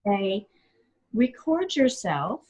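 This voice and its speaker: A woman's voice: medium pitch, very animated, extremely slow, darkish tone. A middle-aged woman, a yoga teacher and singer, giving earnest, encouraging advice in an interview and repeating her key phrases.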